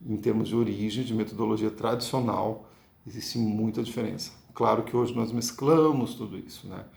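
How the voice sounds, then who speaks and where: A Brazilian-accented man gives a card-reading lesson with a gravelly edge to his mid-pitched voice. He explains patiently and keeps checking in with the listener. The recording sounds echoey and roomy. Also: fairly even intonation, moderate pace, darkish tone. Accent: Brazilian Portuguese. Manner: patient, ends points with tag questions. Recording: echoey room.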